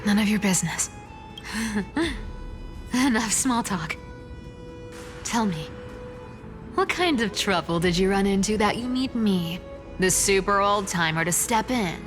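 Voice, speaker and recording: A woman's voice: medium pitch, expressive, slow, long pauses. A woman voicing a cocky, teasing female trickster demigod in a video game, with a sly, boastful, mocking way of talking. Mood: guarded, teasing. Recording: music under the voice.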